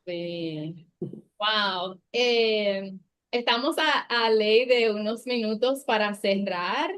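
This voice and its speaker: A woman moderating a panel, speaking in a soft, thoughtful way. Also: mid-range voice, drawn-out words, smooth.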